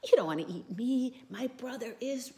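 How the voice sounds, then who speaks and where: A nervous middle-sized billy goat talks his way past danger in a high, eager voice, as a woman puts him on. He sounds louder and higher than the narrating whisper. It is a soft bedtime storybook telling. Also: expressive, normal speed.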